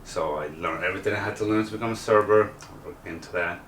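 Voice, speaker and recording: A very deep-voiced man from El Salvador talks through his story haltingly, filling gaps with ums. He sits a bit back from the mic in a roomy, echoing space. A faint hum of noise sits underneath. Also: a bit bright. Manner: halting, many fillers. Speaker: contestant. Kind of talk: personal interview. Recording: roomy sound from a distance.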